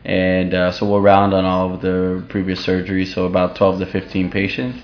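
A young man, a surgical resident, answering interview questions in a halting, laid-back way and pausing often mid-sentence. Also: low voice, monotone. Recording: dull lo-fi sound with faint background noise.

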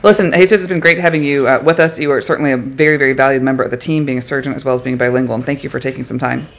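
A man's voice: mid-range voice, steady tone, rapid-fire, creaky, slight background noise. A man hosting a podcast and interviewing his surgical team during a hospital mission, chatting in an upbeat, friendly way. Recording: dull lo-fi recording.

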